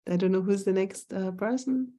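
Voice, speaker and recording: Woman running a seminar on a video call, warm low tone, stops and restarts a lot. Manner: thoughtful, halting with restarts. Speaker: seminar lecturer. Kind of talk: online seminar talk.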